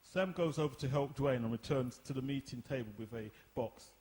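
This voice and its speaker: A man's voice: mid-range voice, brisk, darkish tone. A man narrating a scripted drama, reading out the stage directions.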